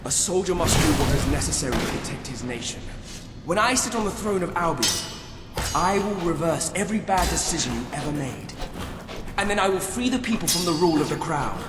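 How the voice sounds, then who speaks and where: A man acts out a fanatical commander scheming to take a crown in a video game. His fairly high voice speaks in grandiose, menacing monologue, set back from the mic in a long, echoing hall. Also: animated, fairly quick, lightly acted. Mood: righteous, stern. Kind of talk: dramatic video game villain acting. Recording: echoey hall, distant, loud music behind.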